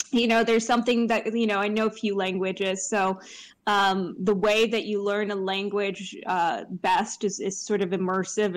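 A woman in casual discussion, thinking aloud and often repeating words as she goes. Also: mid-range voice.

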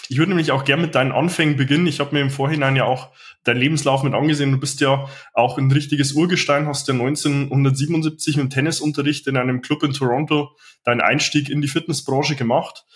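Male host with a middle-pitched voice; earnest and orderly in his questioning. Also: fairly even intonation, fast talker. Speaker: podcast host and personal trainer. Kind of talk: interview hosting.

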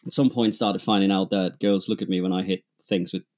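A man from Germany with a German accent, a music producer and manager talking in a relaxed, rambling, self-deprecating way as a podcast guest. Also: sits low, fairly quick. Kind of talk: casual interview chat.

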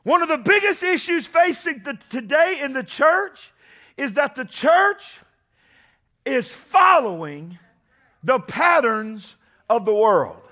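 A man preaches, his voice pitched very high and ringing bright. He moves from conversational teaching into fervent, shouted climaxes, calling out to his congregation. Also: very animated, slow. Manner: impassioned preaching, shouting at peaks. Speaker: pastor. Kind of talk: sermon.

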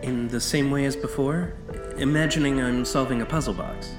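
A man's voice: medium pitch, fairly even intonation, moderate pace, lightly acted. A man playing an uneasy, formal novice accomplice in an audio drama, with hesitant and stiffly proper phrasing. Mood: unsure. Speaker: voice actor in a fiction podcast. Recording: distant, echoey, music underneath.

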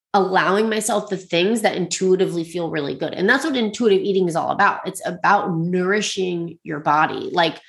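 A woman's voice: fairly low pitch, creaky. A woman with a slightly congested voice, talking casually and reflectively in a personal, confessional solo style with lots of "like". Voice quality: slightly congested, clogged-up nose. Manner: informal, reflective, frequent "like". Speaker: host sharing personal advice and resources.

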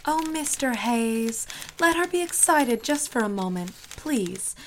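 High-voiced, cheeky office gal. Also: female voice, lively intonation, a little slow, a little hiss or hum, rough recording. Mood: breezy, cheeky. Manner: breezy, sassy, sentimental. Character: bubbly, cheeky young married office friend. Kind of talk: period radio drama acting.

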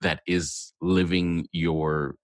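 Deep-voiced male interviewer, dry sound. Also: flat delivery, drawn-out words, no room sound. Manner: curious, encouraging questions. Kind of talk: casual interview conversation.

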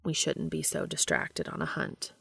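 A woman voicing an earnest male angel with a flat, stiff, deadpan delivery in an audio story. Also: very deep voice, normal speed, vocal fry, slightly rough, no echo, clean studio sound, voice pushed down, full character voice. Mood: flat, restrained.

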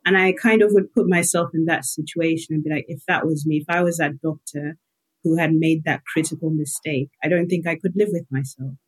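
A woman with a slightly low voice speaks as an interview guest. She reflects on her own experience, pausing often as she thinks aloud. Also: fairly even intonation, slightly rough, poor audio. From Malawi. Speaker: guest, health psychologist. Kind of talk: reflective personal interview answers.

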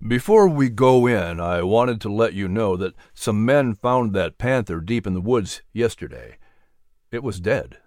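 Audiobook reader doing kind calm minister guy, gentle mentor, storybook style, dry recording. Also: medium pitch, unhurried, dry recording. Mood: informative.

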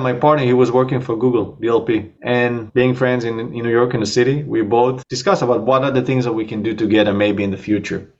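A startup co-founder with an Israeli accent talks through his company in a relaxed interview, pitched in the middle of a man's range. His speech runs fluently but is peppered with fillers. The audio sounds muffled, as if it came over a video call. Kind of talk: casual interview talk.

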